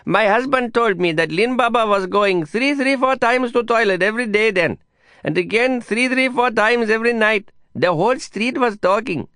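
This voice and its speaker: A man voicing a gossiping middle-aged woman neighbor, chattering in a lively, graphic way. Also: sits high, normal speed, dry recording, studio quality, pitched above the performer's own voice. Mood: gossipy.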